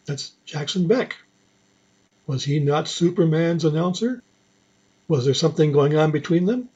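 A man's voice: a little high, measured pace, darkish tone, slightly rough, lo-fi sound. A man presenting old radio programs in an informal, musing, conversational way.

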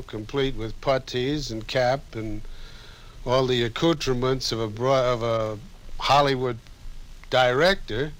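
A man reminiscing in an interview about radio work, measured, with pauses and a dry wit. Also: medium pitch, a bit bright, poor audio.

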